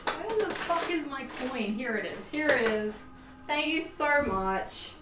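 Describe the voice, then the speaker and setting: Animated Australian woman livestreaming from afar. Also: sits high, takes it slowly, noisy background. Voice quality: animated, laughing, self-mocking. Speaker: livestream host. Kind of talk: casual livestream chat. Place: home sewing room. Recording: roomy, distant, faint music behind.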